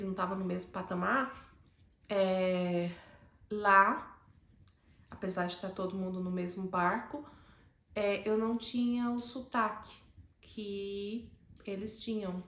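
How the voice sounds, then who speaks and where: A woman's voice: medium pitch, a bit bright, reverberant. A woman from Northeast Brazil with a Northeastern Brazilian accent, telling a personal story to camera with many fillers and restarts. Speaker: host.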